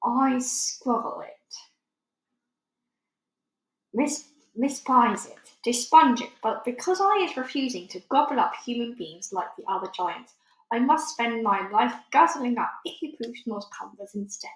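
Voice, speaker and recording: A woman voicing a very old, good-hearted male giant from a children's storybook, excitable and easily wounded, talking in muddled grammar and made-up words. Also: on the high side, unhurried. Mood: disgusted, emphatic. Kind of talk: children's storybook read aloud. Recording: echoey room.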